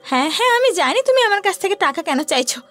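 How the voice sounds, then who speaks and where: Casting: woman as sharp-tongued cartoon village wife, high-pitched, fast, scolding. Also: very animated, soft background music, exaggerated voice. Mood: angry. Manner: scolding, rapid-fire. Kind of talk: animated horror story voice acting.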